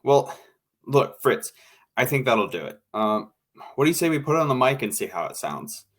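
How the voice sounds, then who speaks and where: Casting: male actor as mid-pitched studio director, groping for words, tactful yet exacting, vintage radio play. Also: sing-song, normal speed, slightly rough. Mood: reassuring. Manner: hesitant, groping for words, repeating himself. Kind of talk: naturalistic old-time radio drama acting.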